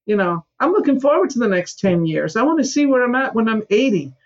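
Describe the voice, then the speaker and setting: A woman's voice: on the high side, sing-song, fast talker, dull audio. A woman in her seventies from Scotland, a guest in a podcast interview, speaking emphatically and sometimes mimicking whiny excuses. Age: 70s.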